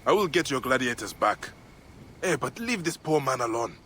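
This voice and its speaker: An actor playing a steadfast, compassionate protector from ancient times, in a dramatic video game performance. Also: male voice, medium pitch, very animated, normal speed, bright, forceful tone, a little hiss or hum. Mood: concerned.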